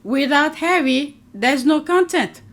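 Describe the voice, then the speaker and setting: Brief: woman host, English not her first language, lively commentary, laughs a lot, fairly high voice. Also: slow pace. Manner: animated, laughs often, talks to her audience. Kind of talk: casual commentary reacting to clips.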